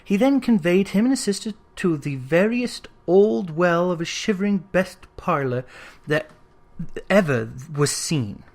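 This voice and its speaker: A narrator reading literary fiction aloud in an expressive, theatrical storytelling style. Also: mid-range voice. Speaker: audiobook narrator.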